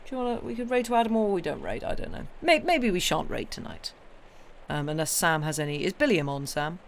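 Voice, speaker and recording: A young woman with a hoarse, croaky voice chats casually with her viewers after reading a story. She rambles in a friendly, apologetic way, full of ums and restarts. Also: lowish voice, lively intonation, fairly quick, slight background noise. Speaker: livestream story reader.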